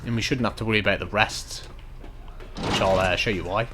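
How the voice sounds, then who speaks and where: A man giving unhurried, instructional commentary over a video game walkthrough in a casual way. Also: fairly low pitch, fairly even intonation, noisy background. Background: video game ambience.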